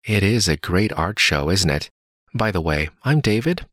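Deep-voiced man reading scripted dialogue. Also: animated, moderate pace, a bit bright, dry recording, studio quality. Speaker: voice actor in a listening test. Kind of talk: slow, carefully read scripted dialogue.

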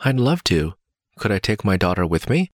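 Man, very low voice, dry close studio sound, careful textbook reading. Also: big pitch swings, normal speed, dry recording. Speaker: voice actor in a listening test. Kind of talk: slow, carefully read scripted dialogue.

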